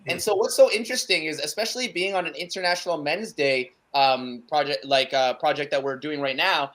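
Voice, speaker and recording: A man whose first language is Cantonese chats on a video call from home, eager and rambling, padding his thoughts with fillers. His voice sits a little high and sounds bright and forward. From Canada. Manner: enthusiastic, rambling with many fillers. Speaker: guest speaker. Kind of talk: casual chat. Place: home room.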